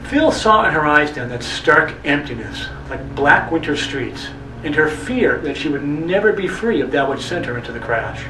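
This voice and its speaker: A man's voice: on the high side, big pitch swings, noisy background. Man dramatically narrating, swooping echoey voice. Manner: brooding, theatrical, deliberate phrasing with repetition. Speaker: storyteller performing a monologue. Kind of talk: dramatic literary monologue narration. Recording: echoey, distant mic, music underneath. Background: music.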